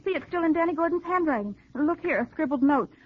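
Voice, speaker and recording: A woman's voice: sits high, expressive, fast talker. A woman playing a polite, capable young college student in a 1940s radio drama. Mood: concerned. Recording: muffled 1940s radio, faint noise.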